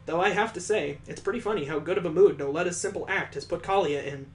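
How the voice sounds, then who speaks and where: A man's voice: sits high, quick pace, faint music behind. A man reading a visual novel aloud as host of a gaming video, breaking off into wry, jokey asides. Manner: wry, jokey, wanders off into side comments.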